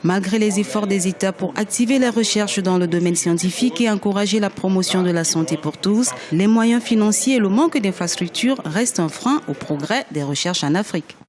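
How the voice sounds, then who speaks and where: A reporter reading a scripted news report in a steady, formal way. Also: mid-range voice, a little fast, few pauses.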